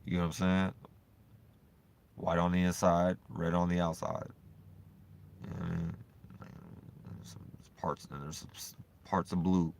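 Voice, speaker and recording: Man, very deep voice, rambling and profane, loose casual talk. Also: moderate pace, creaky, pauses often, slight background noise. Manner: loose, profane and repetitive, full of "you know what I'm saying"; swings from animated bursts to low mumbling. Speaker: vlogger.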